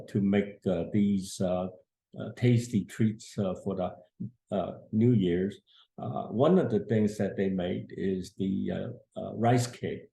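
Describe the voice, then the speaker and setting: Older man, gravelly and mellow, unhurried halting storyteller, roomy sound. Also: low voice. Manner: slow, halting, many filler pauses. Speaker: storyteller grandfather. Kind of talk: casual family storytelling.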